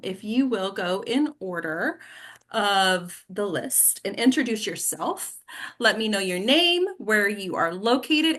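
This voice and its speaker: A woman's voice: mid-range voice, fairly bright tone. A woman hosting an actors' table read on a video call, speaking in an informal, chatty way. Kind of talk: casual hosting of an online group.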